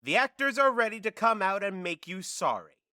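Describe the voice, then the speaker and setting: Earnest amateur stage narrator, acted by a man, overblown declamation, bright forceful voice, very dry recording, audio drama. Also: sits high, expressive, normal speed, dry recording, heavily acted. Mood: earnest, grandiose. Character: earnest amateur actor reciting a play's prologue. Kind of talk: theatrical audio drama recitation.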